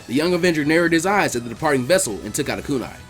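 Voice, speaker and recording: Male storyteller for fan fiction, higher-pitched voice, brisk pace, expressive scripted reading over music.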